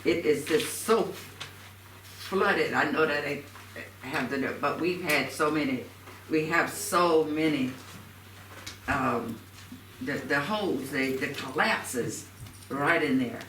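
A man with a bright, fairly high voice talks slowly and haltingly at a council meeting, repeating himself while searching for words. It is recorded in an echoey room from a distance. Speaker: city council member. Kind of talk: informal public meeting discussion. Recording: echoey room, distant mic, faint noise.

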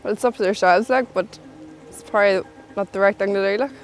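A woman's voice: medium pitch, fairly quick, soft background music, rough recording. A young woman, speaking offhandedly and with hesitation in a documentary interview. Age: young adult.

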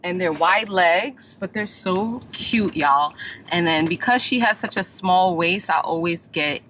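A woman's voice: on the low side, unhurried, fairly bright tone. A young woman, chatting casually to her viewers while filming in a car. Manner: chatty, laughing, addresses viewers as y'all. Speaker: vlogger. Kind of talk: casual vlog chat. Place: inside a parked and moving car. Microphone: handheld camera at arm's length. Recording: noisy car interior.

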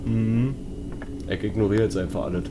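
Low-voiced man, casual horror game commentary. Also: fairly even intonation, normal speed, noisy background. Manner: colloquial, joking, talks to himself and viewers. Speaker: let's player.

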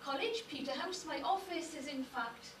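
A middle-aged woman with a Welsh accent, a native Welsh speaker, giving a measured public lecture as a university lecturer. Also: high-pitched, recorded from a distance, background noise. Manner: measured, lists names with careful emphasis. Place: darkened lecture hall. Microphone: far room recording. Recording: echoey hall recorded from afar.

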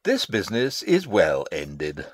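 Pompous old royal advisor, shakespeare style, slow n rambling, super swoopy pitch. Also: male voice, huge pitch swings, breathy edge, dry recording, exaggerated voice. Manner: rambling, self-satisfied, theatrical. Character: pompous, long-winded old male royal counsellor and father. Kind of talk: classical Shakespearean stage acting, dramatic reading.

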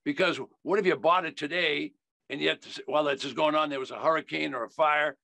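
A man's voice: a little high, fairly even intonation, brisk, gravelly, pushed and bright, no echo. An older American man teaching in an emphatic, folksy sales style, repeating key phrases on a video call. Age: older, 70s. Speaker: investing educator. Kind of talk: instructional sales talk.

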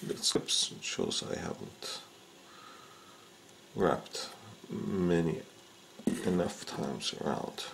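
A man's voice: low-pitched, animated, extremely slow, creaky, a bit bright, a little hiss or hum. A man giving a casual how-to demonstration of repairing a fishing rod, talking unhurriedly with frequent filler sounds as he works at an indoor bench. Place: indoors at a workbench.

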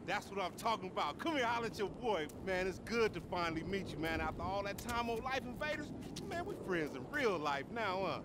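An actor playing a boisterous, friendly young street hustler in a video game, with an American accent and slangy, swaggering delivery. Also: male voice, high voice, lively intonation, a little fast, few pauses, background noise. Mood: excited, welcoming.

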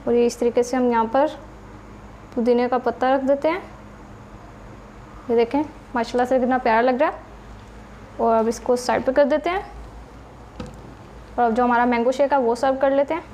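A woman with a Pakistani Urdu accent narrates a home recipe step by step and talks straight to her viewers. Her voice sits high and stays patient and explanatory. A faint noise runs under the recording. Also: fairly even intonation, normal speed, pauses often, darkish tone, poor audio. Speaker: cooking channel host.

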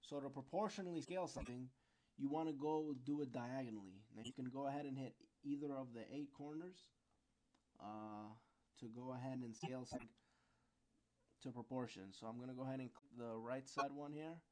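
A man giving an informal, unscripted software tutorial, explaining step by step and sometimes searching for words. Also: medium pitch, brisk, slight background noise.

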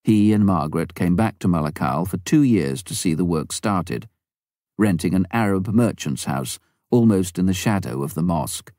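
A man reading a first-person memoir aloud in a measured, even way. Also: deep voice, dry recording, clean studio sound. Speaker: audiobook reader. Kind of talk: scripted memoir narration.

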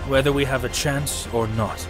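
A man's voice: medium pitch, fairly even intonation, moderate pace, over music, voice pushed up, natural voice. A man voicing a resolute, earnest heroic knight with formal, solemn delivery in a fantasy audio drama. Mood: grim resolve.

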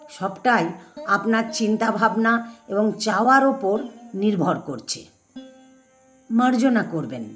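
A woman narrator reading an essay of advice to parents, speaking directly and admonishingly to listeners. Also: a little high.

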